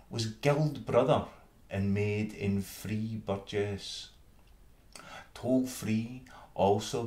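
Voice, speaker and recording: A Scottish man reading an old fable in verse with measured, dramatic storytelling. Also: on the low side, a little mellow. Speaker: reader. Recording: roomy, echoing space.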